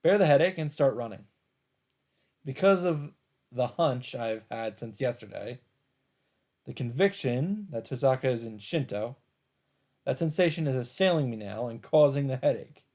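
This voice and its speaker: Man reading game narration aloud, mid-pitched. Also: animated, normal speed, pauses often, a little mellow. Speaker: let's play narrator.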